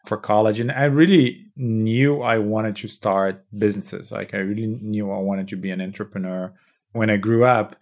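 A man's voice: on the low side, fairly even intonation, normal speed, a little mellow. A man in his thirties from Brazil with a Brazilian Portuguese accent, explaining at length in a podcast interview, with frequent restarts. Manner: explanatory, many restarts and "you know". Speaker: startup founder and CEO.

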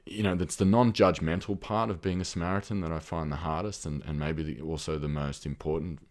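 A man's voice: a bass voice, fairly even intonation, brisk, a little mellow. A middle-aged Australian man with an Australian accent, a podcast guest speaking reflectively, with many ums and restarts. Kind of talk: reflective conversational talk.

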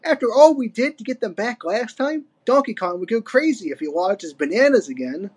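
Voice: medium pitch, big pitch swings, a little fast, slightly rough, voice pushed up. A storyteller voicing an eager, earnest young male monkey sidekick in a storybook. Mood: indignant. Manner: earnest, protesting. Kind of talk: storybook character reading.

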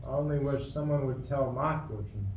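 An actor playing a fussy, anxious, obsequious royal courtier in a radio play, speaking with smooth formality. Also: male voice, medium pitch, moderate pace, a little mellow, close to an everyday voice. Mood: gossipy, worried. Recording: roomy, distant mic, faint noise.

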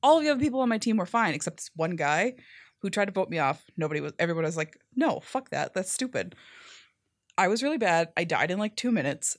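A young woman with a fairly deep voice, co-hosting a podcast and telling stories in a casual, animated way with many "like"s. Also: quick pace, no room sound, clean studio sound. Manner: animated storyteller, says "like" often. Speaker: podcast co-host. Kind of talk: casual chat.

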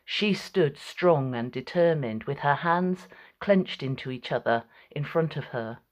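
Slow, even-toned woman narrating. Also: low-pitched. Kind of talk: scripted dramatic story narration.

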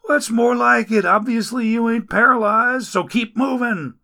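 A man voicing a griping, foul-mouthed male rescuer who grumbles loudly in folksy slang. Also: extremely high pitch, normal speed, vocal fry, pitched above the performer's own voice, exaggerated voice.

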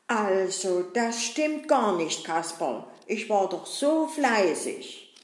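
A good-natured, always hungry puppet boy fusses and makes excuses, played by a woman. He talks slowly in a mid-range voice. The room gives it a clear echo. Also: mid-range voice, big pitch swings. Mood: chatty. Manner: chatty, fussy, self-excusing. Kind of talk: puppet-show acting. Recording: roomy echo.

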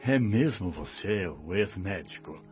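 An actor playing a benevolent, paternal minister in a radio drama, speaking in a measured, sententious way. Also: male voice, low voice, animated. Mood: wise, solemn. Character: benevolent, wise government minister of the spirit world. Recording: dull lo-fi radio drama with music.

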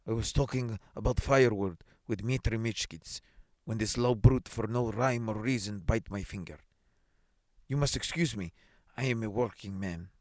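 A man's voice: fairly low pitch, no echo, lightly acted. A male reader plays a tipsy goldsmith in a classic tale. He is whining and self-righteous, pleading his grievance with indignant deference to authority, recorded dry and close. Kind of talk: dramatised short story reading.

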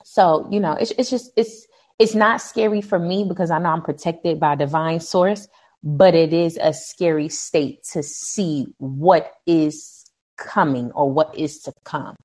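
Outspoken low-voiced podcast co-host woman. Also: a little slow, a little mellow. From Brooklyn. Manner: outspoken, emphatic, preachy asides. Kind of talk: casual chat.

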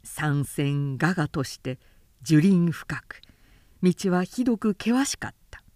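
A woman who works as a narrator reads a literary tale dramatically, in a measured, carefully articulated way. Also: deep voice, animated, darkish tone, dry recording, studio quality. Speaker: professional narrator.

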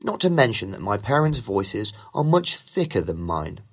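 Male test candidate, lowish voice, animated, polished scripted delivery. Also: moderate pace, breathy edge. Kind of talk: scripted model speaking-test answers.

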